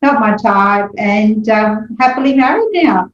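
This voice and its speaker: A woman's voice: medium pitch, a little slow. A young woman from Sri Lanka with an Australian accent, chatting easily as an interview guest and often laughing. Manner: relaxed, laughs easily, acts out her own past thoughts. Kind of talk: casual interview chat. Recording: echoey, dull video call audio.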